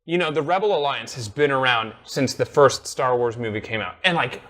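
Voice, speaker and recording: A man's voice: medium pitch, brisk, a bit bright. A man co-hosting a casual gaming podcast from a living room couch, talking animatedly and acting out lines he quotes. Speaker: podcast co-host.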